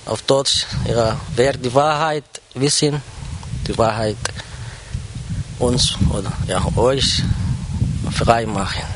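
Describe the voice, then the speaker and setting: A man whose first language is Arabic, speaking to his church congregation in a halting personal testimony, with many pauses and fillers. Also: medium pitch, background noise. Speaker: church member addressing the congregation. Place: church service.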